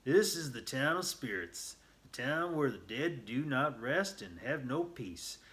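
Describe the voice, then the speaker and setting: A man's voice: medium pitch, big pitch swings, measured pace, a bit bright, voice pushed up. A man voicing a grim old gold miner in a storybook, with an ominous, commanding, emphatic delivery. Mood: stern warning. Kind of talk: storybook character voice.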